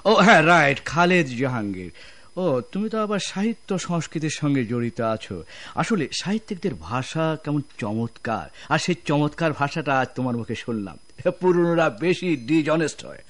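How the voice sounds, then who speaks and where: An actor playing a smug, sly general manager in a radio drama, hemming and talking with oily sarcasm. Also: male voice, a little high, sing-song, normal speed, fairly bright tone. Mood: mocking.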